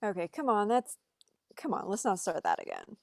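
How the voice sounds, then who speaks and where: Woman acting anxious young son, earnest, mid-pitched, dry sound, film table read. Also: wildly swooping pitch, moderate pace, creaky, darkish tone, no echo. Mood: hesitant, eager. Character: young man searching for father, anxious, earnest.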